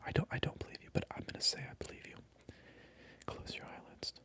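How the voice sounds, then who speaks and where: A man whispering through a comedic ASMR role-play, mock-indignant and repeating his phrases over and over. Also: fast. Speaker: ASMR creator.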